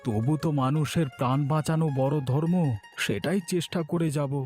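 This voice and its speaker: A man's voice: fairly high pitch, a little fast, lightly acted. A man playing a righteous villager in a cartoon moral story, scolding yet merciful, with an earnest, emphatic delivery. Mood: stern, earnest. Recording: music behind the voice. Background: background music.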